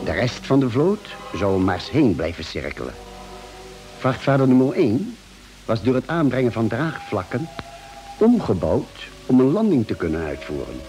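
Male, articulate doctor-narrator for a vintage sci-fi radio serial, lively pitch, medium register. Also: poor audio. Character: calm ship's doctor and narrator of a space expedition. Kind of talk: 1950s radio drama acting and narration.

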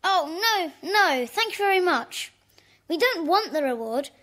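A young British boy in a children's audio drama. He is earnest, eager and well-mannered, with a high, lively voice. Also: unhurried, exaggerated voice. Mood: polite, earnest. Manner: polite, eager.

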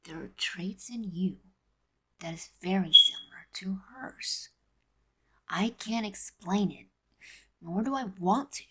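A woman voicing a teasing, wistful teenage boy in a fan audio drama, breathy and often near a whisper, in a confiding manner. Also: medium pitch, slow pace, pauses often, pushed and bright, exaggerated voice, poor audio.

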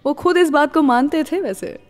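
A woman's voice: sits high, moderate pace, a little mellow, in a large hall. An Indian woman hosting a radio show, telling stories to listeners in a scripted style and mixing in English phrases. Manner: mixes English words and phrases into the talk.

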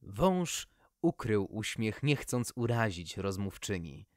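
Children's storybook narrator, unhurried and very expressive, dry close sound. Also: medium pitch, no room sound.

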